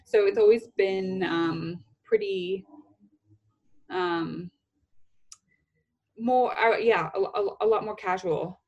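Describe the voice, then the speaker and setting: A woman's voice: medium pitch, slow, long pauses, darkish tone, lo-fi sound. A young woman from the Pacific Northwest, a guest in a casual interview, chatty with frequent laughs and fillers. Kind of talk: casual conversation.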